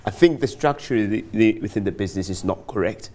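A middle-aged man pitching stocks off the cuff as a studio guest, hesitating and repeating phrases. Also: fast talker, darkish tone. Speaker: investment analyst, show guest. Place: TV studio, standing.

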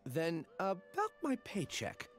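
A man's voice: high-pitched, huge pitch swings, slow, faint music behind, full character voice. A man voicing an earnest, polite young male researcher in a video game. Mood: apologetic, polite.

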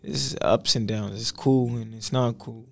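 Gravelly young rapper, laid-back. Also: male voice, medium pitch, steady tone, a little slow. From Boston. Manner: laid-back slangy storytelling. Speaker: rapper guest. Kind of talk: casual podcast interview. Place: small studio with purple backdrop. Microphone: studio mic on boom arm.

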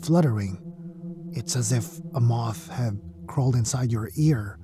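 An actor playing an uneasy, brooding survivor in a sci-fi audio drama. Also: male voice, mid-range voice, unhurried, music in the background, lightly acted. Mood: eerie, uneasy.

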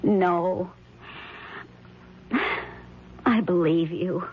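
An actress playing a frightened, suspicious middle-aged wife, halting and anxious, in a 1970s radio drama. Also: female voice, on the low side, steady tone. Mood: torn, fearful. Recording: dull noisy 1970s radio broadcast.

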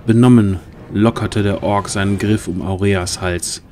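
A man's voice: fairly low pitch, fairly even intonation, unhurried, darkish tone, slight background noise. A man reading scripted, dramatic fantasy narration as the narrator of an audio drama.

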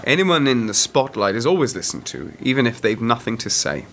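A man playing a dry, measured, self-deprecating former intelligence worker turned author in a radio play. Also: medium pitch, sing-song, fairly bright tone, a little hiss or hum. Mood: cynical, measured. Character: wry, world-weary male author and former intelligence worker. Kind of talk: radio drama dialogue.

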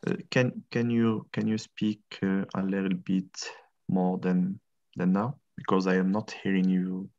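Guy teaching on a video call, super low voice, lo-fi audio. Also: level tone, measured pace, a little mellow. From Morocco. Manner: friendly, encouraging, repeats questions. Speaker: lecturer. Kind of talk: online class introductions. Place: indoor room, tiled wall.

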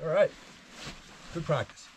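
A man's voice: measured pace, slight background noise, rough recording. A man in casual, joking chat outdoors, recorded on a handheld action camera. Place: outdoors on a mountain, at a tent.

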